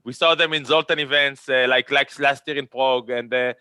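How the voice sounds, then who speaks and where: A man's voice: medium pitch, steady tone, a little fast, bright, forceful tone, clean tone, dry recording. A man with an Israeli accent moderating a virtual conference panel, chatty and rambling, often repeating words.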